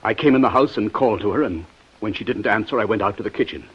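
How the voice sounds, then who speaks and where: A man's voice: fairly low pitch, fast, slightly rough. A man playing an agitated, wealthy banker husband in overwrought 1950s radio acting. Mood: shaken. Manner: overwrought, theatrical. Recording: muffled old radio recording.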